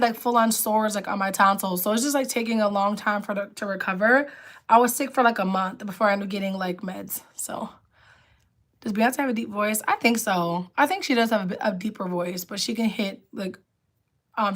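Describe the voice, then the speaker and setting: A woman with a raspy, lower alto voice, hosting a casual livestream and chatting with viewers as she answers their comments. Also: a little fast, a bit bright. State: raspy voice from illness. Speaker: livestream host.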